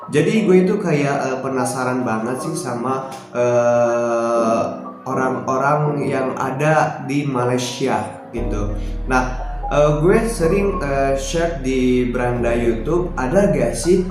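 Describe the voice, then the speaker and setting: A young Indonesian man talking casually to camera as a reaction vlogger, pausing often with filler sounds, recorded on a phone. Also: mid-range voice. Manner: hesitant, many filler "eh" pauses. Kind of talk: casual vlog talk to camera. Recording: very echoey room, music behind.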